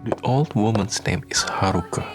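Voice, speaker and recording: A man narrating a scripted radio drama in a solemn, measured way. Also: on the low side, whispered. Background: faint music.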